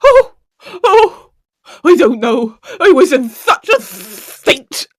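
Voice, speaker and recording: A woman's voice: very high voice, big pitch swings, slow pace, heavily acted. A woman playing a fretful, superstitious house cook in a comic Gothic audio drama, melodramatic and rustic in her speech. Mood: wailing panic. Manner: melodramatic, exclaiming, wailing.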